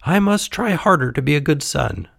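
A man's voice: a little high, big pitch swings, moderate pace, vocal fry, a bit bright, no echo, clean studio sound, voice pushed up, heavily acted. A man voicing a brave, impulsive young puppet boy in a children's storybook, with hesitant, eager delivery. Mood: upset, regretful.

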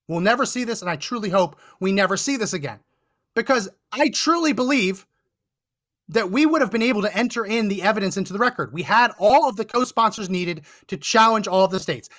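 Man, hoarse, losing his voice, high voice, indignant, emphatic, political podcast host. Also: sharp tone. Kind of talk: political commentary monologue. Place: Texas.

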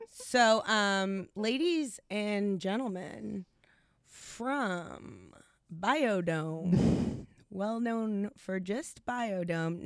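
A podcast co-host, a man, cracking jokes at his own expense in a high, childlike voice. His banter is quick and self-deprecating, and the studio sound is close and dry with no room in it. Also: fairly bright tone, clean tone, no echo. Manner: joking, self-mocking, says he uses a high baby voice on the podcast. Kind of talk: casual comedic chat.

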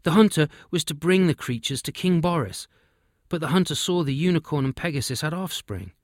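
A man's voice: a little high, animated, fairly quick, no room sound, clean studio sound, voice pushed up, lightly acted. A man voicing a humble, out-of-breath messenger bringing urgent news in a story.

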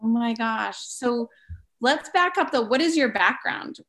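A woman's voice: on the high side, animated, unhurried, fairly bright tone. A woman hosting her own podcast, upbeat and enthusiastic as she interviews a guest. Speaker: podcast host and declutter coach.

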